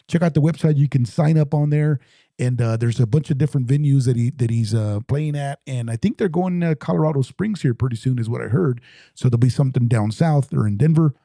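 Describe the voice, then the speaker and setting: A man's voice: mid-range voice, dark tone, dry recording, clean studio sound. A man from South Texas hosting a casual podcast, upbeat and rambling, full of fillers. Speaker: podcast host.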